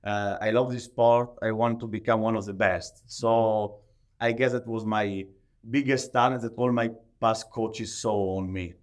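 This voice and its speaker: A man with an Italian accent answering interview questions conversationally in halting, non-native English, often leaning on the word "like". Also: lowish voice, steady tone. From Italy. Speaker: podcast guest, professional athlete.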